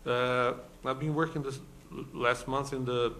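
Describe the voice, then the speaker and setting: Brazilian man giving a halting conference talk. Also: medium pitch, fairly even intonation, bright, forceful tone, slight background noise. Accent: Brazilian Portuguese. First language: Portuguese. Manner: hesitant, many fillers and restarts. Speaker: law professor, conference panelist. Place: conference hall.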